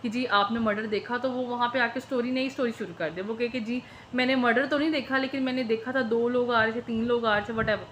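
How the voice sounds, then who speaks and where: A woman's voice: fairly high pitch, a little fast, hardly any pauses, a bit bright, slight background noise. A woman with a Pakistani accent giving an informal law lecture, switching between Urdu and English terms as she explains. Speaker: law teacher.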